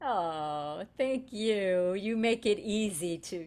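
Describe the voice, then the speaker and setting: Woman, concert host, very slow, lively pitch, florid praise, distant from the mic. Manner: florid, alliterative praise with laughter. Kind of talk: effusive host introduction.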